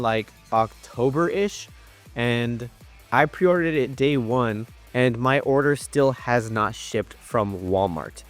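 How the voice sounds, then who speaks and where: Congested young man reviewing a toy. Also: lowish voice. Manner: chatty, lots of ums and uhs. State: congested, stuffy nose. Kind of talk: casual unboxing review.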